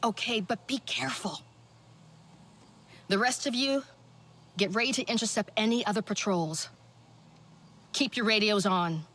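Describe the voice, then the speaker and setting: A sober resistance leader. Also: female voice, mid-range voice, measured pace, long pauses, fairly bright tone. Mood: urgent, commanding. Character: sober, seasoned human resistance leader briefing her team. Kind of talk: cinematic video game dialogue.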